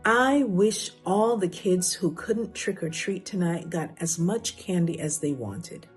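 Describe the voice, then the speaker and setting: A woman voicing an earnest, kindhearted young boy in a gentle children's storybook reading. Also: fairly low pitch, measured pace, faint music behind, natural voice. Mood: earnest, hopeful.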